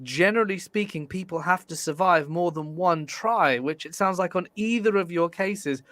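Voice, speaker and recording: A man's voice: high voice, moderate pace, a bit bright, dry recording. A man making his case as a guest in a casual panel debate.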